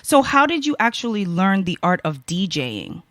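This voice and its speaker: A woman from the United States hosting a casual podcast interview, excitable and gushing, with many fillers. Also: medium pitch, normal speed, no room sound, studio quality. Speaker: podcast host.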